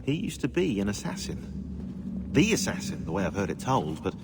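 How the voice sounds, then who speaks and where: A male game researcher with a lowish voice and very varied intonation. He is pedantic and sardonic, explaining things drily, with noise clearly behind him. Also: huge pitch swings, moderate pace. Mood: wry, matter-of-fact. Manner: wry, explanatory. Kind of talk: video game voice acting.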